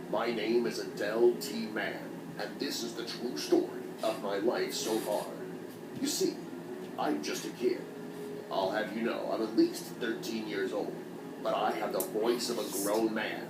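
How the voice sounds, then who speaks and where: A man playing a kid who has a grown man's deep voice, narrating a comic storytime in a deadpan, mock-solemn way. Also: roomy sound, very noisy, lightly acted. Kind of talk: comedic storytime animation narration.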